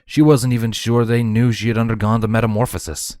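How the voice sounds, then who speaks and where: A man's voice: lowish voice, flat delivery, brisk, darkish tone, no room sound. Man narrating a story, dry close sound. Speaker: narrator, fan fiction reader.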